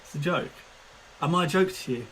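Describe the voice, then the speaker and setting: A man's voice: a little high, a little fast, fairly bright tone, breathy edge, low-quality recording. A man in his twenties chatting casually on a live stream, self-mocking and laughing often. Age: 20s. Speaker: fantasy football streamer.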